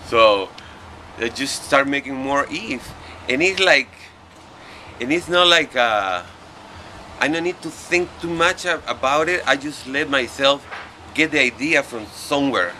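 Bright-voiced man with Peruvian Spanish accent. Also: mid-range voice, expressive, low-quality recording. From Peru. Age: middle-aged. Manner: halting, non-native English phrasing. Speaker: painter being interviewed. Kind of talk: casual interview answers. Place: outdoors on a porch. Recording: outdoor street noise.

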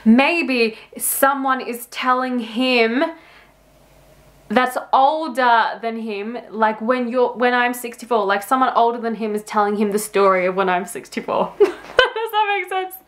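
A woman's voice: on the high side, animated, a little slow, a bit bright. A woman in her twenties hosting a music reaction video, talking casually and enthusiastically, thinking aloud and laughing often. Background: faint music playing.

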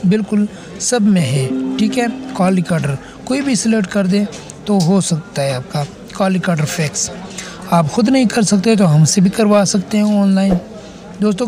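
A man hosting a casual step-by-step phone repair tutorial, instructing and often checking in as he goes. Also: high-pitched. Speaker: tech tutorial host.

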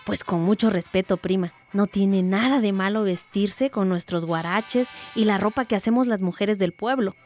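A woman's voice: medium pitch, normal speed, hardly any pauses, darkish tone, soft background music, lightly acted. A woman playing a principled village woman proud of local traditions, firm and mildly reproving, in a radio drama.

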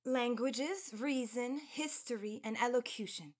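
A woman's voice: a little high, measured pace. An actress playing an earnest, proud young college woman of the 1910s with formal, careful elocution in a radio drama. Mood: admiring, earnest. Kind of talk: period radio drama acting.

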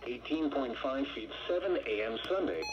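A woman's voice: rumbling low, expressive, moderate pace, muffled recording. A synthetic woman's voice reading a scripted weather bulletin through a small radio speaker. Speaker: automated weather radio announcer. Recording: weather radio speaker picked up by handheld camera.

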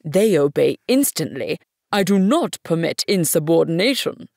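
A woman's voice: low voice, lively intonation, vocal fry, darkish tone, dry recording, clean studio sound, exaggerated voice. A woman voicing a pompous old male king from a storybook, speaking in a grand, imperious manner of command. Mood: grand, certain. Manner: grand, imperious, slowly proclaiming.

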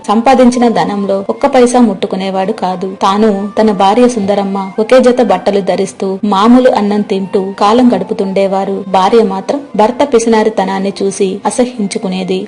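A woman's voice: mid-range voice, dark tone. A woman storyteller reading a scripted moral tale as narrator.

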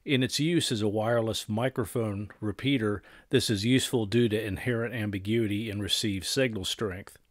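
A middle-aged man presenting radio equipment in scripted technical narration, with measured, formal and precise wording. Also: on the low side, steady tone, no echo, studio quality.

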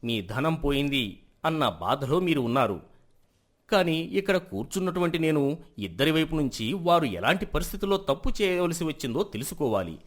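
A man voicing a stern but fair village elder who judges disputes in a moral story, measured and authoritative. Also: on the high side, sing-song. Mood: calm, firm. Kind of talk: dramatised moral story acting.

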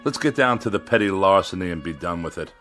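Haughty, swooping, irascible writer. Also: male voice, low voice, animated, fast talker, a bit bright, faint music behind. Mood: contemptuous. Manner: pompous, wordy, sneering sarcasm. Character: haughty, irascible male writer who despises machines. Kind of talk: radio drama acting.

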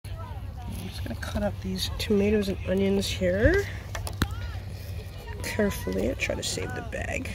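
A woman's voice: medium pitch, big pitch swings, unhurried, pauses often. A middle-aged woman chatting casually as she cooks outdoors, recorded on a phone. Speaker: home vlogger and mother cooking outdoors. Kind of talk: casual chat while cooking. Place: outdoors at a picnic table on a beach. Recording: loud outdoor beach noise, sizzling pan. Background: sizzling frying, outdoor ambience.

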